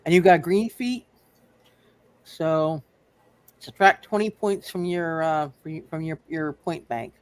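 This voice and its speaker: A man running a tabletop roleplay game, halting and often repeating his phrases. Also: a little high, clear, resonant tone, no room sound. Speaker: game master.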